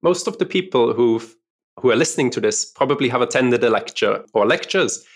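Gravelly-voiced man, chatty podcast guest. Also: medium pitch, a little fast. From Austria. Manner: relaxed, chatty, uses fillers like "kinda like". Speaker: guest lecturer. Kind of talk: podcast interview.

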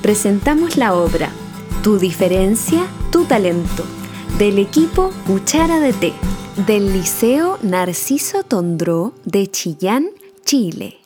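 Female announcer, mid-pitched, formal scripted presentation with clear music underneath. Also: sing-song, slow pace. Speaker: announcer introducing a radio play.

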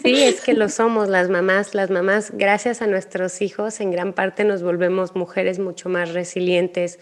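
A woman's voice: mid-range voice, fairly even intonation, a little slow, noisy background. A woman hosting a conversational interview, speaking in an affirming, emphatic way. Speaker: podcast host, interviewer.

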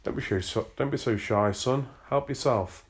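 A man's voice: medium pitch, normal speed, voice pushed up, close to an everyday voice. A man voicing a blunt, pushy middle-aged father with a Northern English accent, hearty and domineering.

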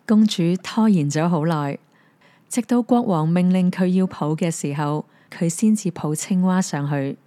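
Mellow-voiced Hong Kong Cantonese storyteller. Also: female voice, lowish voice, unhurried, no room sound. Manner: gentle, even storytelling. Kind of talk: fairy tale narration. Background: soft piano music.

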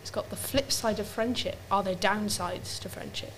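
A woman's voice: medium pitch, moderate pace, a bit bright, slight background noise, low-quality recording. Chatty woman co-hosting a casual podcast. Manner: chatty, explains at length with many "like"s. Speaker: podcast co-host. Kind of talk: casual chat.

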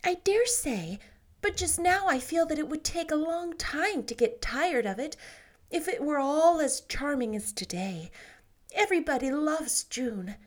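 A woman gives voice to a dreamy, imaginative young heroine in a storybook, her voice lifted high and light. She talks with lively, romantic swoops and a gentle tease. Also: unhurried.

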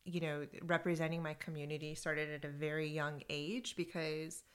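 A woman with a slightly low voice, co-hosting a podcast in casual conversation. She tells her stories in an animated way, breaking into laughter often. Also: moderate pace. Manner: animated storytelling with frequent laughs. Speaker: podcast co-host. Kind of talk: casual conversation about workplace groups.

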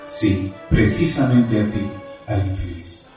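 A man's voice: fairly low pitch, slow, a little mellow. A man acting as God's angelic messenger in a radio play, solemn and pleading, with declamatory, preaching speech. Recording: very echoey, distant, music underneath.

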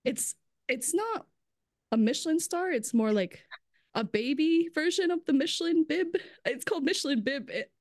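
A woman chatting casually as a podcast guest, talking about restaurants, full of "like" and quick laughs. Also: high voice, expressive, a little mellow, dry recording, studio quality. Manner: chatty, fills speech with "like", laughs often. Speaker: brand strategist guest.

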